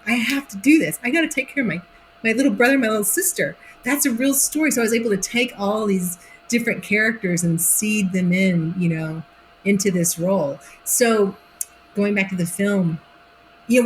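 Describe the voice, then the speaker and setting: An actress guest from Oklahoma, speaking in a casual interview with a faint Oklahoma accent and telling stories with lively acted-out quotes. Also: female voice, mid-range voice, slight background noise, rough recording. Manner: animated storytelling, acts out quotes.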